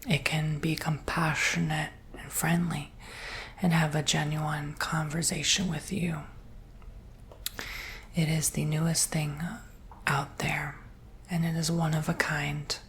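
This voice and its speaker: Slow whispering man acting as a robot salesman. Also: a little high, level tone, slight background noise. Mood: soft, reassuring. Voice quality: breathy whisper. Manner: slow, gentle, reassuring sales patter. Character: soft-spoken, earnest male robot salesperson. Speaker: ASMR roleplay performer. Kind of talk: whispered ASMR roleplay.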